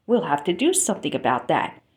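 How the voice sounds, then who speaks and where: A woman's voice: deep voice, very animated, a little fast, darkish tone, pitched below the performer's own voice. A woman playing a kind, considerate young prince in a storybook read-aloud.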